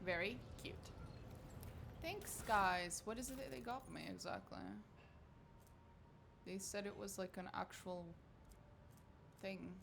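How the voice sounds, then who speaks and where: A woman's voice: medium pitch, slow, creaky, pauses often, background noise. Chatty woman streaming a game. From Portugal. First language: Portuguese. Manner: chatty, swears casually, talks to chat. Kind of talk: casual gaming livestream chat.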